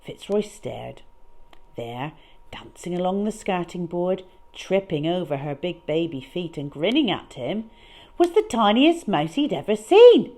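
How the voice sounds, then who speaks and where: Animated woman reading a children's story. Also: lowish voice. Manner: expressive, emphatic, drawn-out key words. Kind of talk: dramatic read-aloud storytelling.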